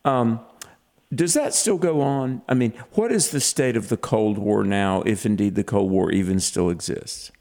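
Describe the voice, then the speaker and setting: A man's voice: fairly low pitch, measured pace, darkish tone. Earnest male talk radio host. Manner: earnest, with frequent fillers.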